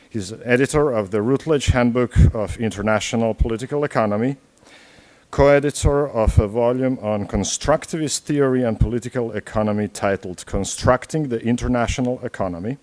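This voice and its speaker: A man hosting a talk, reading a formal introduction of the guest from notes in a measured way. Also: medium pitch, darkish tone. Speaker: host introducing a speaker. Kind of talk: formal introduction read aloud.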